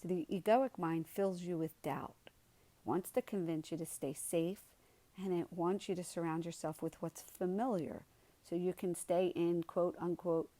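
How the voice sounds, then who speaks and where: Slow, mellow woman guiding a meditation. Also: fairly low pitch, no echo. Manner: soothing, unhurried instruction with gentle pauses. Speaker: guided meditation leader. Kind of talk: guided meditation.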